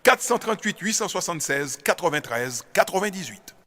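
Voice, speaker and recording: A man with a bright, forceful, fairly high voice reads a radio advertisement. He delivers it as a promotional announcer. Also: very animated, normal speed.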